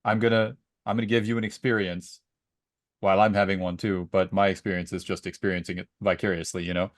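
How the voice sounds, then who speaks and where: Guy on a podcast chatting and retelling stuff, kinda low voice, dry recording, does the dialogue. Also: flat delivery, fast, no room sound. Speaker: podcast co-host and story reader. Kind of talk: casual book discussion with personal anecdotes.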